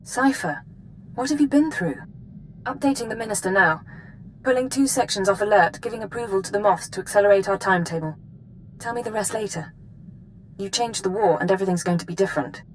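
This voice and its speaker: An actress playing a brisk, caring intelligence dispatcher in a video game, efficient and formal but concerned. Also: female voice, lightly acted, low-quality recording. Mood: reassuring. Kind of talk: dramatic game dialogue. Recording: rumbling noise behind.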